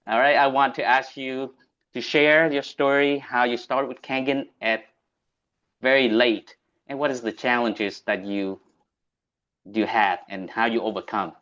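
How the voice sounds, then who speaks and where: Male host, Vietnamese accent, unhurried and halting delivery, slightly muffled low-fidelity audio. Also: steady tone, fairly bright tone. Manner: deliberate, halting, non-native English phrasing. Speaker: host introducing a speaker. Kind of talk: event introduction on a video meeting.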